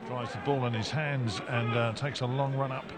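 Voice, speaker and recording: A man's voice: mid-range voice, normal speed. A man giving live play-by-play football commentary, often correcting himself as the action unfolds. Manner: excitable play-by-play with frequent self-corrections. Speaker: match commentator. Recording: loud crowd noise behind commentary. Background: stadium crowd.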